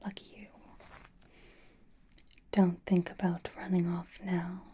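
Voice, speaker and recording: Woman whispering as a slow, breathy, flirtatious vampire. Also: lowish voice, flat delivery, dark tone, heavily acted. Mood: teasing, seductive. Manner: slow, soothing, coy teasing. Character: flirtatious, teasing, possessive reformed vampire grooming a guest. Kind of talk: ASMR roleplay. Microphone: close microphone.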